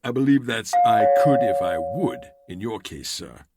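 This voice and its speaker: An actor playing an elderly, deferential butler in an audio drama, formal and polite with a dry wit. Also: male voice, fairly low pitch, animated, a little slow, heavy background noise. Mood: drily teasing. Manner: formal, polite, drily witty.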